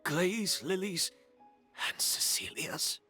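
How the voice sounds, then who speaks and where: A woman voicing a vengeful, grief-stricken woman in a video game, husky and halting, with intense dramatic disbelief. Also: fairly low pitch, expressive, sharp tone, full character voice. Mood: bitter, stunned. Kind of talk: English video game voice acting. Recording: music behind the voice.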